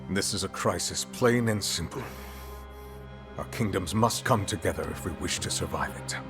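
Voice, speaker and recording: An actor playing a courtly, earnest royal envoy in a video game, with formal, grave manners. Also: male voice, low voice, moderate pace, lightly acted. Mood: grave, urgent. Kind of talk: video game cutscene acting. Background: music.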